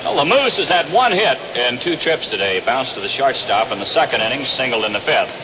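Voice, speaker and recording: A man's voice: mid-range voice, big pitch swings, fairly quick, background noise. An American man calling baseball play-by-play live on an old 1960s radio broadcast. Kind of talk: live radio sports commentary. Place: open-air ballpark broadcast position.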